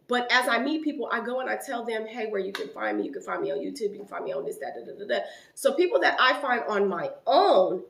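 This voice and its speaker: A woman in her late thirties telling a long personal story to her viewers in a casual, animated way. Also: mid-range voice, echoey room. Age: 30s. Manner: animated, rising loud when quoting an argument.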